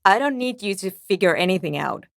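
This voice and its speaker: A woman's voice: mid-range voice, expressive, a little fast, gravelly, no room sound, studio quality. A woman playing a guarded, impatient and anxious programmer in a video game, with a terse, precise and matter-of-fact way of talking. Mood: curt, dry. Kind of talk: scripted game character dialogue.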